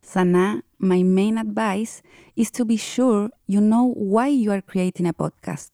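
A woman from Chile gives direct, steady advice in a mellow, dark voice. She is recorded close and dry, with no room sound. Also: medium pitch, unhurried, no room sound. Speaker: podcast editor and host. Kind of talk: advice, read from a script.

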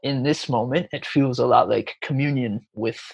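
A man with a gravelly, husky voice speaks as a podcast guest, easygoing and thoughtful about spiritual matters. The sound is dry and close. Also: mid-range voice, moderate pace, a little mellow, no room sound, muffled recording. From Chicago, USA. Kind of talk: reflective interview talk.

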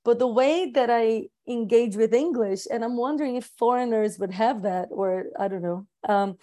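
A woman whose first language is Portuguese speaks English in a warm, mellow voice. She talks in a searching, hesitant way and often restarts her sentences as she reflects. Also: a little high, moderate pace. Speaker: participant in a group conversation. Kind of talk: reflective group conversation.

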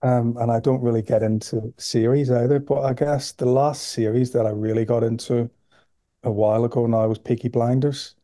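A man with a Northern Irish accent speaks in a very dark, mellow tone, keeping his pitch flat and even. His delivery is relaxed, with frequent pauses and repeated words. The recording is dry and close. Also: dry recording. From Belfast, Northern Ireland. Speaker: guest, sports nutrition professor. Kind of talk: podcast interview.

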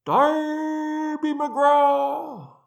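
A man voicing an eerie unseen spirit in a storybook, with a thin, trembling, drawn-out wail. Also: very high-pitched, a bit bright, pitched above the performer's own voice, heavily acted. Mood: mournful wailing. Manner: drawn-out wailing. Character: ghostly unseen wailer singing and hailing from afar.

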